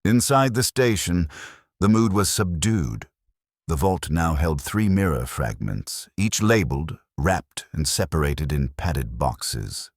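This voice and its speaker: A man reading a scripted mystery story in a measured, literary storytelling manner. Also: sits low, lively intonation, no room sound, studio quality. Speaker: narrator.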